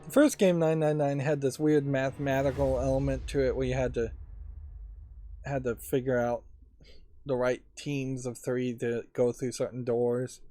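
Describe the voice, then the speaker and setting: A man's voice: mid-range voice, little pitch movement, moderate pace, warm tone. A man giving casual, musing, analytical commentary as he plays a video game. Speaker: let's play host. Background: game music and voices.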